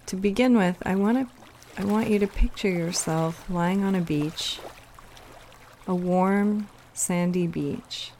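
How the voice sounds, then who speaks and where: A woman leading a guided meditation, giving soothing, unhurried instructions with pauses. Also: medium pitch, a little mellow, a little hiss or hum.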